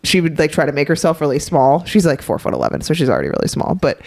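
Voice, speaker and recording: A young adult woman co-hosting a health podcast, talking in a chatty, quick way with frequent laughs. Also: deep voice, huge pitch swings, vocal fry, a little mellow, no echo. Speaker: podcast co-host, health coach. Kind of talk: casual podcast chat.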